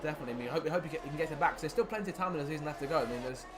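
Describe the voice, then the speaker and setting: Excited fast-talking male race commentator. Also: fairly high pitch, background noise. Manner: excited play-by-play, rising with the action. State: losing his voice. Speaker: lead commentator. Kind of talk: sim racing commentary.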